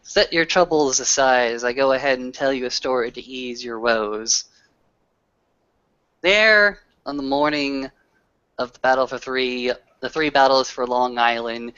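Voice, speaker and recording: Man as a proud, slow-speaking werewolf storyteller. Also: mid-range voice, sharp tone, clean tone, no room sound, lo-fi sound. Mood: solemn, dramatic. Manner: emphatic, theatrical, repeats words for effect. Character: proud werewolf warrior retelling a battle saga. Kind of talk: dramatic in-character storytelling.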